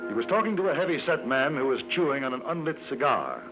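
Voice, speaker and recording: A muffled vintage radio recording with music, featuring an actor as a courteous English journalist out West. He has a medium-pitched British voice, measured and understated, both narrating and talking. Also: big pitch swings, natural voice. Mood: measured, observant. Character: courteous, observant English newspaperman travelling the frontier. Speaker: narrator and lead. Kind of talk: 1950s radio narration and acting.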